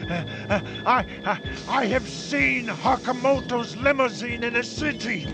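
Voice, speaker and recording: An actor playing a fearful, fawning hunchback henchman who stammers and grunts in a creaky voice in a comedic radio drama. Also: very high-pitched, measured pace, over music. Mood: breathless alarm. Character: servile, fearful hunchback henchman of a mad scientist.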